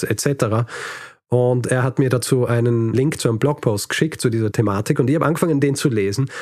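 A man's voice: mid-range voice, darkish tone, no room sound. Man, mid-range voice, dry close sound, casual storytelling, hesitant, chuckling asides, podcast host. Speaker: history podcast host telling the episode's story.

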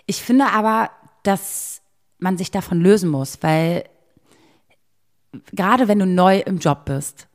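A woman's voice: mid-range voice, slow pace, pauses often. A young adult woman chatting casually as a podcast co-host, in slangy speech sprinkled with English words. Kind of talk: casual chat.

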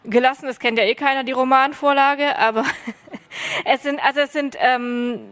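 A woman's voice: sits high, fairly even intonation, moderate pace, fairly bright tone, clean tone. A woman who took part in the workshop, talking on a panel, reflective and searching for words. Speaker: workshop participant. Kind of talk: panel discussion. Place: stage at a festival panel.

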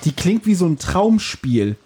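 A man with a mellow, husky voice hosting a casual podcast with playful, teasing banter. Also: high voice, very animated, measured pace. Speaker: podcast host. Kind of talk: casual, joking podcast chat.

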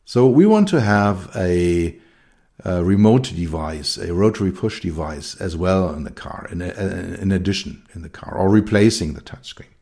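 A middle-aged man with a German accent talks through his ideas on his own. His voice sits very low and sounds mellow. He pauses, repeats words and fills gaps with ums. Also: lively intonation, vocal fry. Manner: thoughtful, with many fillers and restarts. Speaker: consultant and keynote speaker. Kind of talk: solo talk on design.

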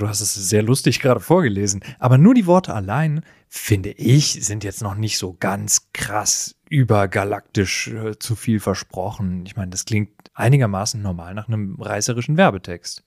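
A man's voice: fairly low pitch, normal speed, few pauses, a little mellow, no room sound, studio quality. A man talking through ideas as a podcast co-host in a casual, colloquial, explanatory way. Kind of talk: casual, reflective discussion.

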